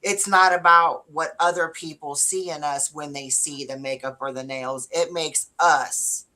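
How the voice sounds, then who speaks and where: A young woman with a deeper voice, co-hosting a relaxed, chatty talk show with lots of fillers. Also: measured pace, low-quality recording. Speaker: co-host. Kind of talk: casual chat.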